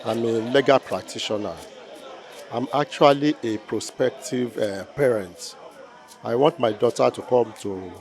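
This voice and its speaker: A man's voice: medium pitch, a little mellow. A man speaking as a parent in the audience, giving an impromptu testimonial and repeating his phrases. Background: music.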